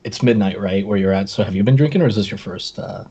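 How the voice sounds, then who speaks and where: A man's voice: lowish voice, fast, mellow, breathy edge. A man for whom English is a second language, a guest on a live stream over a video call, easygoing and laughing along. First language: not English. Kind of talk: casual chat on a live stream. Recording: muffled video call audio.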